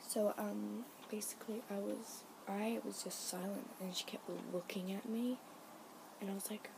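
A woman's voice: mid-range voice, little pitch movement, moderate pace, vocal fry. A young woman whispering a rambling, giggly story to her camera as a vlogger. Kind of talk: whispered ASMR story time. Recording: noisy home recording.

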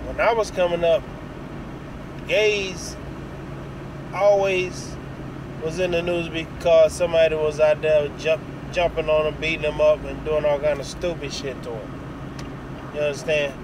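A man's voice: fairly high pitch, level tone, measured pace, long pauses. A middle-aged American man giving a blunt, casual, sweary opinion monologue into his phone inside a vehicle. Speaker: vlogger. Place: inside a parked or moving vehicle cab. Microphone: phone at arm's length. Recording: phone recording with clear vehicle noise. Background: car and air conditioning hum.

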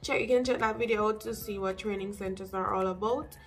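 A young woman with a Jamaican accent giving casual, direct advice to camera as an English teacher, with lively asides. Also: medium pitch, a little fast, faint music behind. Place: small room indoors.

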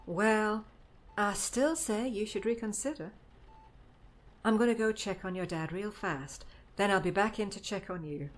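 A woman's voice: medium pitch, unhurried, natural voice. A woman playing a doting, fussing middle-aged mother in an audiobook drama, speaking with emotion. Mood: worried, gentle.

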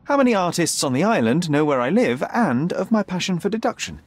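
A self-assured young male detective from a video game. His voice sits a little high and moves nimbly in pitch, with precise, analytical, faintly haughty phrasing. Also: very animated, brisk, slightly rough, exaggerated voice. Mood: deductive, smug. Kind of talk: video game cutscene acting.

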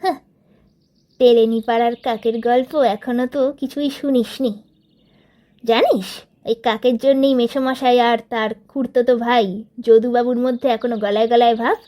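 A woman's voice: on the high side, steady tone, moderate pace, dark tone, pitched below the performer's own voice, natural voice. A woman voicing a boastful teenage boy who leads his gang, spinning a tall tale in a teasing tone. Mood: scornful bragging. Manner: bragging, teasing storyteller tone. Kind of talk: storybook reading of a figure's tall tale.

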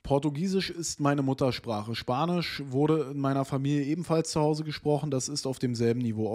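A man's voice: mid-range voice, a little mellow. A man in his thirties reading an interview aloud on stream and chatting about it in a small room. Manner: reads text aloud, then comments in loose asides with fillers. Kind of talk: casual chat, reading an article aloud.

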